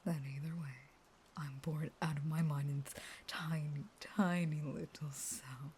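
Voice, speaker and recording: A woman playing a starving, unstable caged vampire who pleads with a lover in a breathy, intimate, dramatic whisper. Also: deep voice, fairly even intonation, measured pace, darkish tone, slight background noise. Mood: bitter, unhinged. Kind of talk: intimate whispered roleplay audio monologue.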